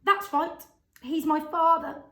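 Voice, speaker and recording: A woman voicing a frantic mother in a storybook reading, with a shrill, wailing voice. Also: steady tone, takes it slowly, a bit bright, full character voice. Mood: alarmed. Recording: echoey room.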